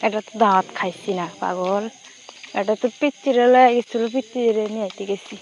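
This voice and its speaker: A woman's voice: medium pitch, a little fast, darkish tone. A woman with a Bangladeshi accent chatting casually and intimately on the phone in short colloquial fragments. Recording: noisy phone call recording, insect-like hiss.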